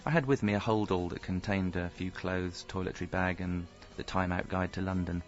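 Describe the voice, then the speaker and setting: An actor playing a rueful, self-pitying middle-aged husband, narrating his misfortunes deadpan in a radio comedy. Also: deep voice, moderate pace, natural voice. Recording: slightly dull audio, faint music.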